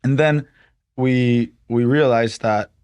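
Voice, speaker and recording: A young man from Honduras talks as a guest on a podcast, his voice sitting at an ordinary medium pitch. He explains things step by step in a relaxed way, with plenty of "um" and "like" between his points. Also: slow pace, smooth. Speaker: startup co-founder, guest. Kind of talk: casual podcast interview.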